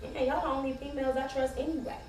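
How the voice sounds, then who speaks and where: Offhand, laid-back woman played by an actress in stage dialogue; high register, echoing room. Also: steady tone, quick pace, lightly acted. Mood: offhand, friendly. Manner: slangy, offhand. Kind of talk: stage play acting, casual dialogue. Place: stage in a roomy venue. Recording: echoey stage, distant mic, background noise.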